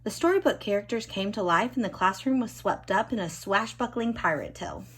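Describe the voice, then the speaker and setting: A woman reading a children's storybook expressively, with dramatic emphasis and drawn-out key words. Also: mid-range voice, very animated, a bit bright, a little hiss or hum. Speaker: storybook reader.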